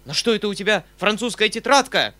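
Man performing an agitated middle-aged gentleman, raised pitch, emphatic, rushed, commanding, audiobook character. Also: natural voice. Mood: furious. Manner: emphatic, breathless, rushed exclamations in short bursts. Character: agitated middle-aged gentleman uncle, kind-hearted, flaring into commanding anger.

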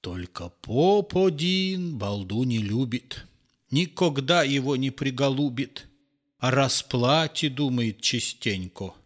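A man narrating a verse fairy tale in an expressive, theatrical and measured way. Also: medium pitch. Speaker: storyteller.

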